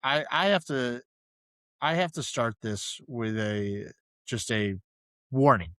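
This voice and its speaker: A man's voice: mid-range voice, lively intonation, takes it slowly, fairly bright tone, no room sound, clean studio sound. Mid-voiced man, hesitant casual podcast talk. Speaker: podcast host. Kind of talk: casual film discussion.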